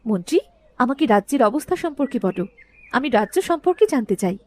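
A woman's voice: on the high side, sing-song, brisk, darkish tone. A woman voicing a dutiful young princess in a storybook cartoon, with an earnest, regal way of speaking. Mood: commanding. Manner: earnest, insistent questioning.

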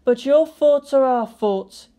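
A woman's voice: high voice, takes it slowly, phone-quality sound, pitched above the performer's own voice. A woman voicing a haughty female alien Farseer figurine in an audio story, imperious and scolding. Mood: miffed.

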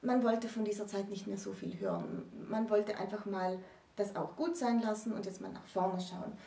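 A woman's voice: medium pitch, expressive, normal speed, dark tone. A woman in her thirties explaining literature as a co-host in a discussion to camera. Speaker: co-host presenting books. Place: room with bookshelves, indoors. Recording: roomy echo, distant microphone.

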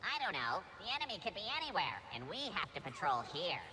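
A chatty robot foot soldier from a video game, bright and nasal. The delivery swings up and down, naive and boastful, through robotic processing. Faint ambience sits behind. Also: male voice, sits high, wildly swooping pitch, quick pace, a little hiss or hum, exaggerated voice. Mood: puzzled, chatty. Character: cocky, dim, chatty battle droid foot soldier.

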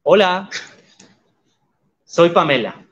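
Guy interpreting live, calm and even, a bit higher voice, slightly muffled. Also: very slow, husky. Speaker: sign language interpreter voicing the guests.

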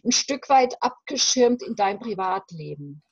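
A woman in her fifties speaks as an interview guest on a video call. Her voice is husky and fairly high, with an emphatic, halting delivery. The sound is muffled and dry. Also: animated, no echo. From West Germany. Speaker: separation coach, interview guest. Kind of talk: reflective interview conversation. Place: room at home. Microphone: video call, computer microphone.

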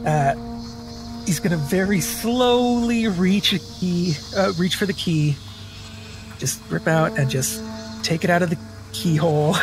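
A man playing a tabletop game, casually improvising what his character does in a hesitant, thinking-aloud way. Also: a little high. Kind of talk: casual improvised roleplay narration.

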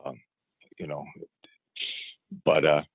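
A man's voice: very deep voice, monotone, slow, breathy edge, dry recording. A middle-aged man, a fishing guide, answering interview questions in an easygoing way, often repeating words and restarting his sentences. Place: outdoors in a boat on the water. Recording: tinny phone-like line.

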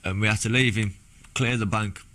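A young British man, a former soldier, recounting his experiences in a halting, plain-spoken way in a documentary interview. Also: fairly low pitch, steady tone, sharp tone. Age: young adult. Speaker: former soldier giving testimony. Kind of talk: documentary interview, personal recollection. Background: background music.

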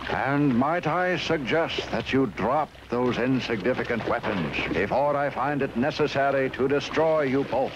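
A man's voice: mid-range voice, lively intonation, a little slow, few pauses, lo-fi sound, background noise, natural voice. A man voicing a menacing old cartoon villain, pompous, formal and mock-courteous. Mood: smug menace. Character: suave, menacing old male villain, a yacht-owning master. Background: loud background music.

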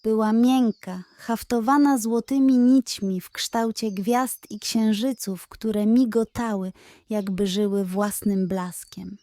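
A woman narrating a scripted children's bedtime story in a gentle, careful, expressive storytelling manner. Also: medium pitch, slow pace, no room sound, studio quality.

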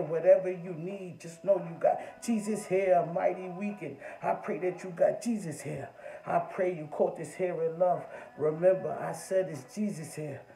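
A man leading a fervent spoken prayer, repeating short refrains in a steady rhythm. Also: high voice, echoey room, low-quality recording.

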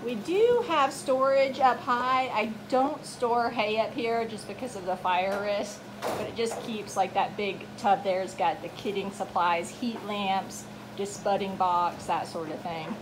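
A woman's voice: mid-range voice, unhurried, noisy background. A woman narrating a casual walkthrough video tour as a farm owner, recorded on a handheld phone in a wooden barn. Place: wooden goat barn with open doors. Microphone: handheld phone, behind the camera.

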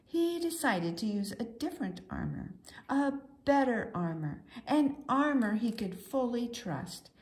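A high-voiced woman reads a children's story at an unhurried pace. Her pitch swings widely, with theatrical, sing-song emphasis on key words. She narrates expressively, as if to small children. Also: sing-song.

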